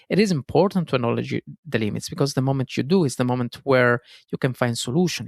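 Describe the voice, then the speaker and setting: Italian-accented male podcast host, gravelly, earnest and self-correcting, dry close sound. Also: medium pitch, no echo. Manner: earnest, self-correcting, frequent fillers and "guys". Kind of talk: solo self-help podcast monologue.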